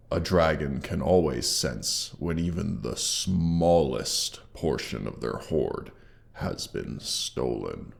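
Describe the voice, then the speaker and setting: A man voicing a haughty, menacing dragon guarding his hoard in a scripted audio drama, with theatrical pauses and monster effects on the voice. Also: very deep voice, gravelly, exaggerated voice. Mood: scornful. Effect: monster voice processing.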